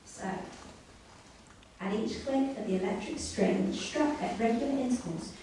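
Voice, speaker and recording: A woman performing a scripted comic story live on stage, with a deliberate mock bedtime-story delivery. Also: a little high, warm tone. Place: live comedy venue, indoors. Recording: very echoey room, distant mic, faint noise.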